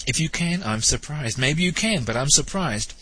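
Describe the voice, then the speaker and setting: Host: New man, congested nasal tone, bright edge, wry and probing commentary. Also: fairly high pitch, animated, normal speed, slightly rough. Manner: wry, questioning, lightly teasing. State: nasal, stuffed up from hay fever. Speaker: talk show host. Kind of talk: spoken theology commentary monologue.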